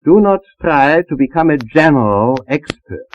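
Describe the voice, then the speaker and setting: Expressive man on a muffled old disc. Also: on the high side, moderate pace, slight background noise. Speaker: foreign trade expert on a discussion panel. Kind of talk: panel interview answers.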